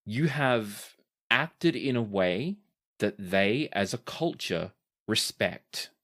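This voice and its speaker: A man's voice: fairly low pitch, a bit bright. A man acting as game master, improvising narration and rulings for a tabletop roleplaying game.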